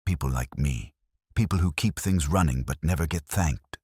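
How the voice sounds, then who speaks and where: A man's voice: very deep voice, normal speed, darkish tone, slightly rough, no echo, studio quality. A man voicing a weary, nervous, guilty caretaker in an audio drama, hesitant and confessional. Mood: miserable. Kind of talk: audio drama character reading.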